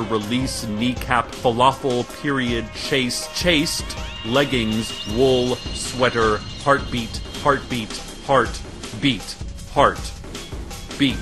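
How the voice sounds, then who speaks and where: A small-town community radio host who takes his time with every phrase. His delivery is deliberate and theatrical, swinging widely in pitch, with an uncanny calm and a gossip's relish. Music plays clearly under his scripted broadcast. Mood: ominous, solemn. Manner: deliberate, solemn announcer turning confiding and swoony. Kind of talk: scripted radio news narration, fictional broadcast. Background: music bed.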